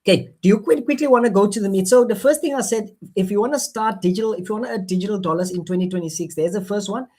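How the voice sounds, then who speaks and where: A man with an Afrikaans accent talks straight to his live audience through a phone, selling an idea with steady encouragement. He circles back over the same phrases, stumbling through fillers and restarts as he coaxes viewers along. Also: high-pitched, fast, hardly any pauses. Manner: repetitive, coaxing, many fillers and restarts. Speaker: online marketing presenter. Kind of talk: motivational sales pitch on a live stream.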